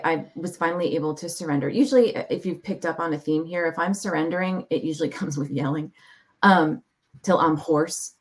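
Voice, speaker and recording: A woman speaking as a podcast guest, sharing her testimony in a reflective, heartfelt way with measured pauses. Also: sits low, vocal fry. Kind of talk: personal testimony in an interview.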